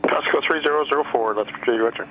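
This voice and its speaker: A man's voice: medium pitch, fast talker, lo-fi sound. A man giving clipped traffic and wind advisories as a flight service radio operator over aviation radio. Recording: band-limited radio with faint noise.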